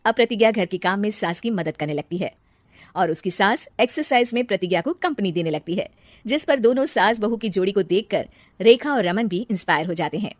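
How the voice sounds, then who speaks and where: A woman's voice: medium pitch, animated, a little fast, no room sound. Close, dry-sounding woman storyteller. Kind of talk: scripted narration of Hindi family moral stories.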